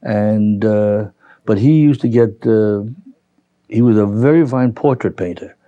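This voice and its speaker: An older man with a Brooklyn accent reminiscing as an interviewee, halting and rambling as he recalls. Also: fairly low pitch, mellow, dry recording, highs cut off. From New York, United States. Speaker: interviewee, retiree. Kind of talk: reminiscing in an oral history interview.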